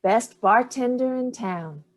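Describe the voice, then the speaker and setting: A woman's voice: on the high side, slow pace. Actress as a cutting, hard-drinking older mother, dry and sardonic. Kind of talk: staged play reading.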